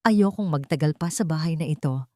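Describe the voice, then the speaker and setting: A woman's voice: low-pitched, brisk, dark tone, no echo, lightly acted. A scheming stepmother from a dramatic audio story, voiced by a woman narrator. She is cold and two-faced, with menace under a sugary front. The sound is dry and close. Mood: quiet menace. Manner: menacing, falsely sweet.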